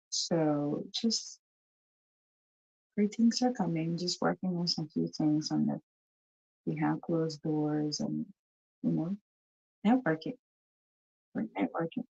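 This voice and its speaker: Husky woman hosting a live show. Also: deep voice, warm tone, muffled recording. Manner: chatty, repeats herself, greets viewers. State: has a cold, coughing. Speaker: host of a live talk show. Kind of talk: casual live-stream chat.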